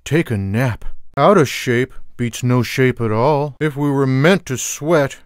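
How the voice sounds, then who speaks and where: Man as fat cartoon cat, sarcastic, lazy, unhurried, dry studio sound, wry game one-liners. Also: medium pitch, clean tone, dry recording, close to an everyday voice. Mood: deadpan, smug. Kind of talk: video game character voice clips.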